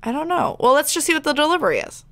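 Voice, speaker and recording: A woman's voice: sits high, big pitch swings, very fast, a bit bright, no echo. A woman chatting casually as co-host of a gameplay video.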